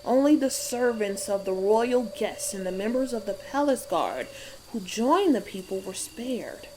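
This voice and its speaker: A woman playing an elderly, knowing witch in a dark fairy tale audiobook, speaking in measured, cryptic and solemn storytelling. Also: on the high side, lively intonation, noisy background. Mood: grave recounting. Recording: music behind the voice. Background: music.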